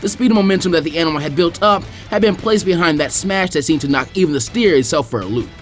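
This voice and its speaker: A man's voice: on the high side, soft background music. A man reading a fan story aloud as a storyteller. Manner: fast, lively storytelling. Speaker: fanfiction reader.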